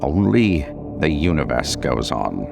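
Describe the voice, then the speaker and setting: A man playing a cryptic, disdainful Count who delivers hard truths in a grave, measured, condescending way in an audio story. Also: rumbling low, very animated, creaky, music in the background, heavily acted. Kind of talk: dramatic audio fiction.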